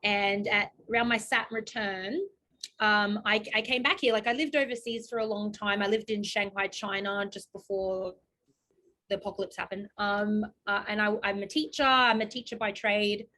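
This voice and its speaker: A woman in her thirties chats as an interview guest, her voice sitting at an ordinary middle pitch. She talks loosely and warmly, laughs easily, and often trips over and restarts her sentences. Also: fairly even intonation, normal speed. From Australia. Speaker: guest astrologer. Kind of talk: casual interview chat.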